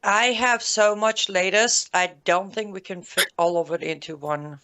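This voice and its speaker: A woman's voice: lowish voice, fairly even intonation, a little slow, pushed and bright, clear, resonant tone. A woman from Iran speaking as a guest on a live panel, chatty and friendly.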